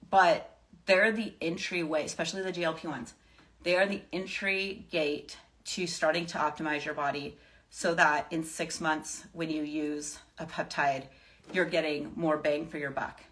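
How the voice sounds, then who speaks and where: A woman with a slightly low voice talks off the cuff, as if hosting a live chat for followers. She gives advice in an emphatic, reassuring way, often restating a point for weight. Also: fairly bright tone. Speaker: live stream host giving wellness advice.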